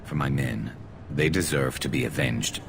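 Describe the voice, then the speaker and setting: A man voicing a brooding, vengeful alien sniper in a video game, terse and intense, with a gravelly voice and a slightly flanged alien filter. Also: wildly swooping pitch, normal speed, noisy background, exaggerated voice. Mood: subdued, conflicted. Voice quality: very deep, gravelly. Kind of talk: video game dramatic acting. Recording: game audio with music behind. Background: background music.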